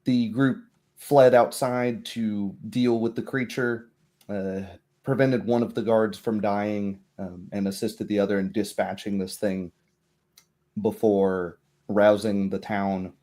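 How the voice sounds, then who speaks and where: A man acts as game master for a tabletop roleplay. His voice is mellow and a little low. He narrates haltingly, searching for his words at a very unhurried pace. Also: flat delivery.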